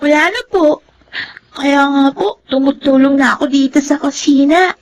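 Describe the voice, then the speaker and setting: A woman's voice: sits high, moderate pace, close to an everyday voice. A woman playing a young, trusting, plaintive girl in a Filipino radio drama. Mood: polite, eager. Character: young girl, tearful, trusting, hurt by parents' separation.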